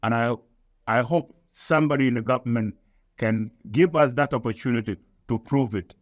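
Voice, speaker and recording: A man from Sudan, giving deliberate, emphatic interview answers in nonstandard English. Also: mid-range voice, dry recording, studio quality. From South Sudan. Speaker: guest, activist.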